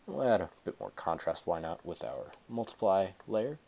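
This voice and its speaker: A man's voice: deep voice, lively intonation, normal speed, gravelly, warm tone. A teenage man with a stuffy cold, casually talking through a tutorial on a headset, rambling with fillers and self-corrections. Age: teens. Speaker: tutorial host. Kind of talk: casual screen-recorded tutorial. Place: small room at home, indoors.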